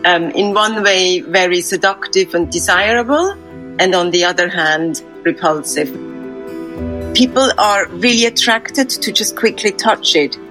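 A woman from Switzerland speaking as a sculptor in an interview. She pauses to find her words. Faint music sits underneath. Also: fairly low pitch, poor audio. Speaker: sculptor, guest.

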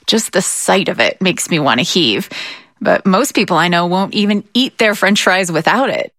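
A woman from Utah hosting a podcast, narrating in a clear, measured way and interviewing guests. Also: fairly low pitch, animated, fairly bright tone, dry recording. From Utah, USA. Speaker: podcast host. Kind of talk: scripted radio narration and interviewing.

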